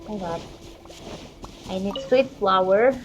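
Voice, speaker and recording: Female gameplay streamer, mid-range voice, casual self-talk, with clear music underneath. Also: mid-range voice, takes it slowly, lo-fi sound. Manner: talks to self while playing. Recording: game music clearly behind the voice.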